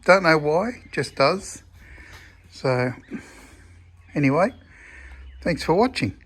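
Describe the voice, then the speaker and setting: An Australian man with a croaky voice talking casually about his restoration work, rambling and chatty with a self-deprecating humour. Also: fairly high pitch, big pitch swings, slow pace, a bit bright. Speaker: car restoration vlogger. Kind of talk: casual workshop commentary. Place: home shed.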